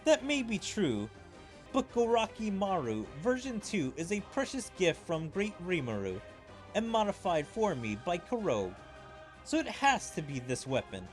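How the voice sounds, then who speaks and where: Man putting on a high, spirited anime fighter. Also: wildly swooping pitch, a little slow, soft background music, exaggerated voice. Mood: earnest.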